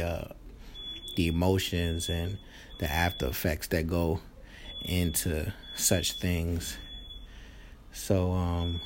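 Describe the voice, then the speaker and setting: A man's voice: low voice, noisy background. A man hosting a casual chat, asking earnest, rambling questions. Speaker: host.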